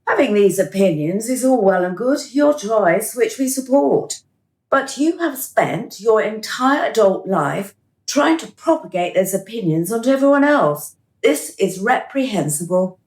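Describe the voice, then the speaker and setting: An actress playing a cool, smug administrator of a dystopian afterlife in a radio drama, officious and mocking. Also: female voice, medium pitch, expressive, unhurried, darkish tone, echoey room, close to an everyday voice. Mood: stern, superior.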